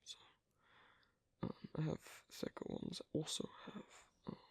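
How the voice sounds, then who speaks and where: A performer voicing an asocial werewolf in an ASMR roleplay, hesitant and gently instructive, in a whispery, breathy, creaky voice. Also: measured pace, no room sound, natural voice. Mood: patient, explaining. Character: asocial werewolf on a first date, patiently teaching sewing.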